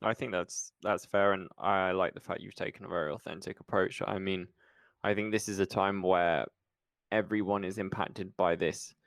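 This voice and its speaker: A man's voice: low voice, fairly even intonation, moderate pace, creaky, a bit bright, dry recording. A man hosting a podcast interview in a casual, conversational style.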